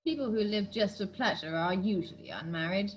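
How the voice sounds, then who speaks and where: A woman's voice: mid-range voice, lively intonation, fairly quick, dull audio, close to an everyday voice. A woman playing a prim, moralising Victorian governess in an amateur play read-through. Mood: disapproving. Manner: moralising, starchy. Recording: faint hum on video call.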